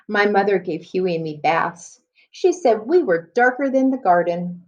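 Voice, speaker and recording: Female primary teacher, medium pitch, explanatory read-aloud delivery aimed at young pupils; dull, lo-fi recording. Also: a little mellow. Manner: explanatory, pauses to question and address listeners. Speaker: elementary school teacher. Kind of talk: read-aloud reading lesson for children.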